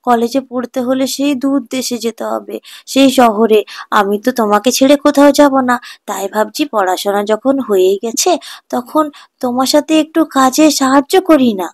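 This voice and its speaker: Woman voicing an eager, high-pitched village boy. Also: moderate pace, lightly acted. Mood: pleading. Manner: pleading, chatty, coaxing. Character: eager, affectionate young village grandson, just out of school. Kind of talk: animated children's folk tale acting.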